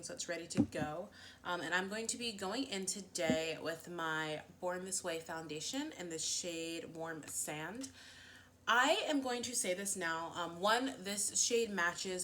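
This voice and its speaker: A woman's voice: on the low side, bright, forceful tone, a little hiss or hum. A woman vlogging a casual get-ready-with-me chat, a little sniffly, full of fillers like "um" and "like". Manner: chatty, lots of fillers and "like". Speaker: beauty vlogger.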